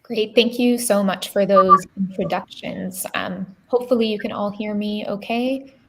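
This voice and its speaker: A woman researcher giving an academic presentation over a video call, calm, sincere and steady. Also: mid-range voice, moderate pace, background noise.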